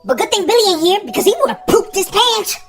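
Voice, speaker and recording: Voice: very high-pitched, sing-song, brisk, over music. A performer playing a bratty, defiant kid in a comedic family skit, sassy and taunting. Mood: smug, taunting. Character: bratty, cocky, defiant kid talking back to parents.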